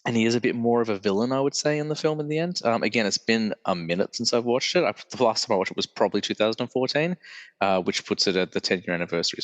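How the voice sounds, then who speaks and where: A man's voice: fairly low pitch, a bit bright, in a large hall. A male podcast host with a congested, nasal voice, chatting casually and correcting himself as he rambles on. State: congested from illness. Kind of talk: casual solo podcast chat.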